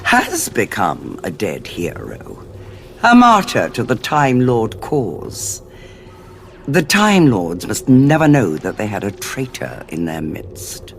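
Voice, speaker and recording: An actress with a British accent playing a scheming, coolly amused war commander in an audio drama, arch and condescending. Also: female voice, a bass voice, huge pitch swings, slow, creaky, a little hiss or hum, exaggerated voice. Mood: grave, cold. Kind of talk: full-cast audio drama acting.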